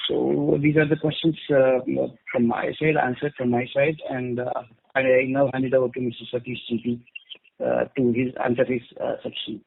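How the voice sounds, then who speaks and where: A man with an Indian accent speaks over a narrow, tinny conference phone line. His voice sits at an average pitch, and he delivers formal, measured statements to investors as a company executive. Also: little pitch movement, vocal fry, slight background noise.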